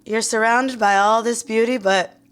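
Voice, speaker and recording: A woman acts an awkward young single woman in a podcast sitcom. Her voice is fairly high. She is guarded, blunt and a bit hungover. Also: steady tone, normal speed, a bit bright, clear, resonant tone, faint music behind, lightly acted. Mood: blunt, searching. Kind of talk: sitcom audio drama acting.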